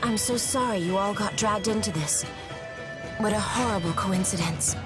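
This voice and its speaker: A woman's voice: medium pitch, moderate pace, over loud music, close to an everyday voice. An actress playing an anxious young woman in a video game, pleading and defensive. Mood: apologetic. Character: anxious young woman, engaged, hiding a shameful past.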